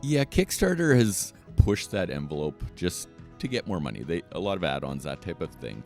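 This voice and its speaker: A man's voice: low-pitched, big pitch swings, normal speed, vocal fry, darkish tone, soft background music. A middle-aged man chatting casually as an interview guest about a hobby. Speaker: guest, board game radio show host.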